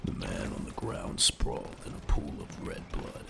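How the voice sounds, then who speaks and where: A man's voice: normal speed, noisy background. A man narrating a scripted audio drama in a dramatic storytelling style.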